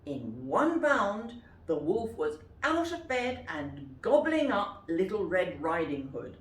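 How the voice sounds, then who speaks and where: A woman reads a story aloud for children, taking her time. Her lowish voice swings widely in pitch for drama. She is recorded in a roomy space at some distance from the mic. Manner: expressive, deliberate, dramatic. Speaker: storyteller, teacher.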